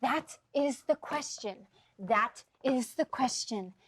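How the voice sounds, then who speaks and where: A woman's voice: fairly high pitch, lively intonation, slow, husky, full character voice. A woman acting a bossy, jolly troupe comedian with hammy, mock-grand delivery in a stage play. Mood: playfully declamatory. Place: stage performance.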